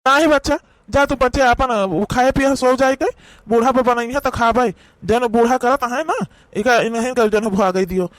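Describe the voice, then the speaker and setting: A man's voice: very high voice, steady tone, normal speed, fairly bright tone, no room sound. A man with an Awadhi accent voicing a sarcastic, grumbling old father-in-law in a cartoon. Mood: resigned, grumbling. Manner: nagging, sarcastic. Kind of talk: amateur cartoon voice acting.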